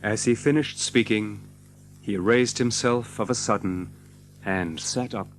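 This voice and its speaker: Low-voiced man narrating a dramatic tale. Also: faint music behind. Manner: expressive, measured literary delivery. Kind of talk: dramatic scripted storybook narration.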